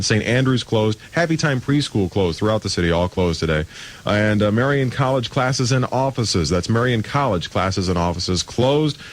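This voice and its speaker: A man's voice: mid-range voice, a little hiss or hum. An American man hosting a morning radio show, chatting and reading announcements live in a breezy, joking, informal way. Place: radio studio. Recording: radio aircheck with music underneath. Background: music.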